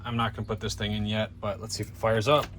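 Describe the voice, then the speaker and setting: A man's voice: lowish voice, little pitch movement, fast, very noisy. A man talking informally and thinking aloud in a casual car repair vlog, narrating his own work. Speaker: DIY car repair vlogger.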